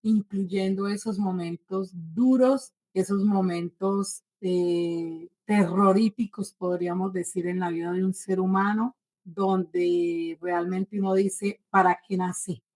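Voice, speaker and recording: A woman's voice: medium pitch, steady tone, slow pace, darkish tone, rough recording. Colombian woman in her forties, earnest testimony. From Bogotá, Colombia. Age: 40s. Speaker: testimony-giving guest. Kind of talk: religious personal testimony.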